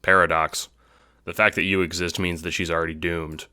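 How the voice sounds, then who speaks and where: A man playing a laid-back, slangy teenage boy in an audio drama, talking in a terse, deadpan, casual way. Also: low voice, normal speed, dry recording, clean studio sound. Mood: reassuring.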